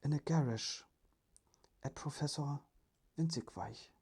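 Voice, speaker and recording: A storyteller whispering as a breathy, polite little robot. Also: mid-range voice, measured pace, darkish tone. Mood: matter-of-fact. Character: polite little robot, playful, precise, childlike helper.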